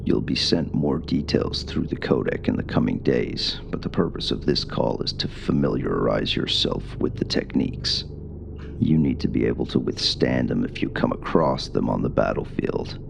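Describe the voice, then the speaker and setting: A man playing a gruff, soft-spoken military commander who gives hushed, deliberate instructions in an ASMR radio-call roleplay. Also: a bass voice, background noise, exaggerated voice. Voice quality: gravelly, hushed.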